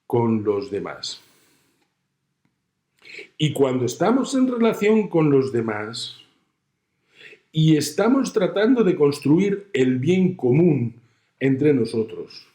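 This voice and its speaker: A middle-aged man from Spain with a Spanish accent, giving a measured, explanatory lecture. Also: on the high side, warm tone, roomy sound. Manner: measured, explanatory, uses everyday examples. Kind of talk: recorded university lecture.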